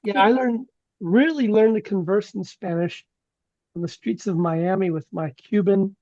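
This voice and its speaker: A man's voice: high voice, unhurried, a little mellow. A man from California sharing stories in a casual group discussion, rambling through anecdotes. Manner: rambling, anecdotal, many restarts. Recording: dull, dry lo-fi sound.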